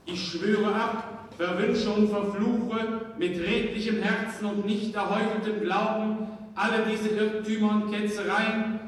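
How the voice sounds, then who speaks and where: An actor playing a solemn scholar formally reciting a recantation in a radio drama. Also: male voice, high-pitched, little pitch movement, a little slow. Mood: solemn, measured. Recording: very echoey hall, distant, faint noise.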